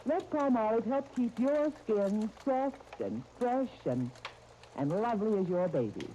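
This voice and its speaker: A woman's voice: a little high, animated, measured pace. An American woman reading a scripted commercial as a 1930s radio spokesperson. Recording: noisy, dull 1930s radio disc recording.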